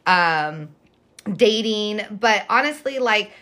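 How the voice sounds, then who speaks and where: A raspy-voiced young woman co-hosting a podcast, telling stories in animated casual chat and swearing freely. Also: mid-range voice, slow. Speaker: podcast co-host.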